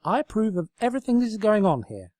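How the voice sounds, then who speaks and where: Man as an earnest, high-voiced little fairy lamp. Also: very animated, quick pace, a little mellow, dry recording, studio quality. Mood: approving. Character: approving, deadpan living fairy lamp, a sister.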